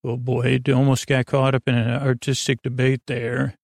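A gravelly man rambles through a bedtime podcast monologue. He wanders off on tangents, stops and restarts, and leaves sentences hanging. The sound is close and dry, with a mid-range pitch. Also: monotone, a little mellow, no echo. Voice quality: creaky, gravelly. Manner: meandering, halting, tangential, with trailing unfinished sentences. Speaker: podcast host. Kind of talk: rambling bedtime monologue to help listeners fall asleep.

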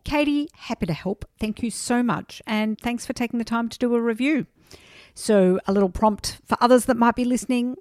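A woman's voice: on the high side, moderate pace, a little mellow, dry recording, studio quality. A woman with an Australian accent hosting a podcast, introducing and interviewing with an enthusiastic, emphatic manner. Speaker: podcast host.

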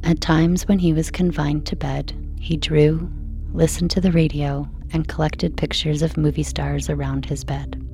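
Low, creaky-voiced woman reading softly. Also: flat delivery, measured pace, soft background music. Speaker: narrator of a sleep podcast. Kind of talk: slow, soothing scripted bedtime narration.

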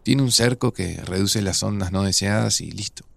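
An actor playing a grieving, resigned man in an audio drama, speaking Rioplatense Spanish in a subdued, hesitant, gravelly way. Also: lowish voice, moderate pace, no echo. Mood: calm, matter-of-fact. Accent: Rioplatense Argentine. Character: grieving, resigned widower in a near-future Argentina. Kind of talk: naturalistic audio drama dialogue.

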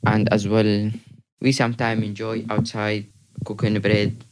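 A young man speaking halting, broken English in a casual chat, often repeating words. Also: on the low side, completely flat delivery, background noise. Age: young adult. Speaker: youth group member.

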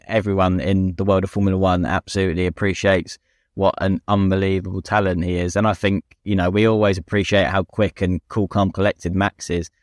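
Low-voiced British man chatting about motorsport. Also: no room sound, studio quality. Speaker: podcast co-host and racing driver. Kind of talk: casual motorsport chat.

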